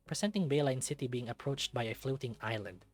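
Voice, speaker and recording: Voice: mid-range voice, fairly quick. A narrator reading a visual novel aloud in a dramatic storytelling style, with music behind. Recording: background music under the voice. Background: game music.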